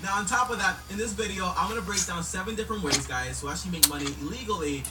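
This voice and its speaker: A man's voice: high-pitched, fast, very noisy. A man presenting a YouTube video, repeating earnest warnings and asides to viewers. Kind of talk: scripted video intro. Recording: clip played from far off under loud music.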